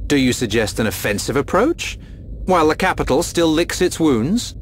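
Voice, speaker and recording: An actor playing a dignified, cautious royal regent in a game cutscene, formal and authoritative. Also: male voice, fairly high pitch, lively intonation, normal speed, a little hiss or hum, lightly acted. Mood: concerned. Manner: stately, formal. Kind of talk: dramatic video game cutscene acting.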